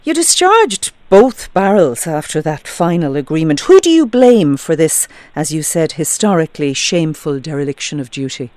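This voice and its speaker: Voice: medium pitch, big pitch swings, moderate pace, few pauses, darkish tone, no room sound. A radio news presenter, reading scripted links and probing a guest.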